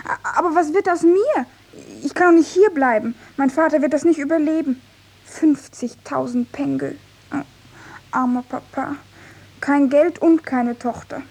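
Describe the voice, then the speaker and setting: A woman's voice: high-pitched, a little slow, darkish tone. An actress playing an earnest, naive young lady in a radio play, plaintive and polite. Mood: forlorn. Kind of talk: 1950s German radio play acting.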